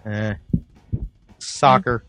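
A man co-hosting a casual gaming podcast, excitable and jokey, rambling through his stories. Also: on the low side, drawn-out words, soft background music. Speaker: podcast co-host. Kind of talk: casual chat.

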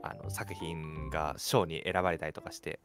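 A man's voice: rumbling low, steady tone, moderate pace, over music. A man in his early twenties chatting casually and animatedly as co-host of a radio show. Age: early 20s. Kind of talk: casual chat.

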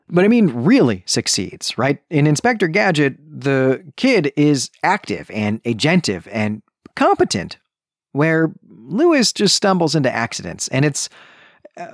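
A man speaks as a solo podcast host, reviewing in a conversational but analytical style. His mid-pitched voice moves up and down a great deal as he stresses key words. The sound is dry, with very little room in it. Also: no echo.

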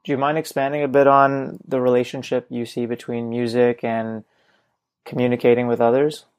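A man hosting an interview, asking measured questions. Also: medium pitch, level tone, clean tone. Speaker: interview host.

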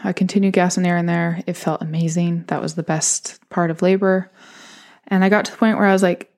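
Young woman chatting about her own story, kinda low voice, laughs a lot, does little voices when quoting stuff. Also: fairly even intonation, brisk, a little mellow. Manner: candid, chatty, laughs often, mimics voices in quotes. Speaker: podcast host telling her birth story. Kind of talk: casual personal storytelling.

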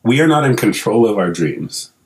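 Deep-voiced, lively young man. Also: normal speed, poor audio. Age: 20s. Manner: candid, joking and profane. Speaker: podcast co-host. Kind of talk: casual podcast chat.

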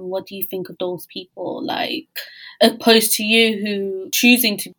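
A woman with a medium-pitched voice hosts a conversational interview. She talks casually and informally, often slipping "like" into what she says. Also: a bit bright, rough recording. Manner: informal, frequent use of "like". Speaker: interview host.

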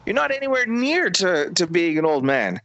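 A middle-aged man from Canada talks in a laid-back, profane, buddy-like way. His voice sits a little higher than most men's. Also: big pitch swings, moderate pace, fairly bright tone, muffled recording. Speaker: podcast guest. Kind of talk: casual chat.

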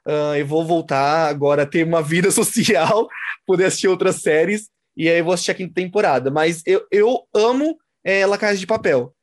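A woman's voice: on the low side, normal speed. Young woman chatting casually. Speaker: show co-host. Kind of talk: casual chat.

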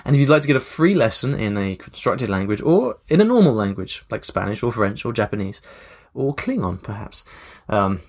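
A man's voice: lowish voice, fairly quick, hardly any pauses. Chatty male podcast host. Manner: relaxed, chatty, many fillers. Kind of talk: casual podcast chat.

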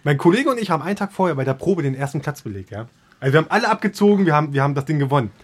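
A man talking fast in a relaxed chat, his voice husky and a little rough. He rambles through his stories with restarts and breaks into laughter often. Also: on the high side. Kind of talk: casual chat.

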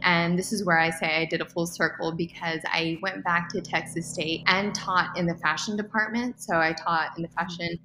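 A woman's voice: lowish voice, steady tone, normal speed, few pauses, sharp tone, a little hiss or hum. A young adult woman, a podcast guest, chatting in an upbeat, rambling way with fillers. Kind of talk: casual interview answers. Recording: roomy echo with faint music.

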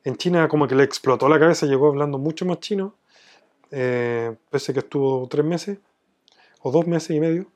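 Chilean guy chatting in an interview, voice a bit high, explains stuff through little anecdotes. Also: moderate pace, darkish tone. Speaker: business owner being interviewed. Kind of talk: conversational interview, explaining from experience.

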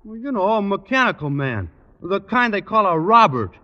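A dopey, word-mangling teenage jock. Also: male voice, high voice, very animated, muffled recording. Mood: dopey, sheepish. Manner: slow-witted, ungrammatical, mangles words. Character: dim-witted lanky teenage boy football player. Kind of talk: 1940s radio sitcom acting. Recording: noisy old radio broadcast.

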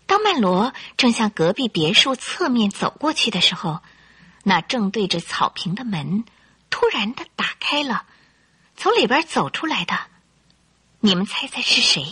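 A woman's voice: medium pitch, big pitch swings, normal speed, pushed and bright. A woman narrating a radio drama in a theatrical, suspenseful storytelling style. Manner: theatrical, suspenseful, clearly articulated.

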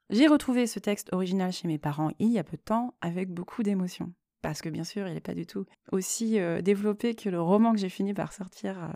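A woman talking reflectively on her own as a podcast host, thoughtful and hesitant, often pausing mid-phrase. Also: mid-range voice, warm tone, dry recording, clean studio sound. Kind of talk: reflective solo podcast talk.

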